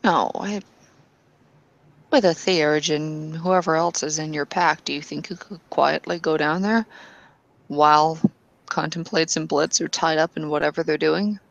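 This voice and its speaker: A woman's voice: low-pitched, fairly even intonation, unhurried, vocal fry, highs cut off. A woman playing a tabletop roleplaying game, speaking in character, earnestly and with some hesitant restarts.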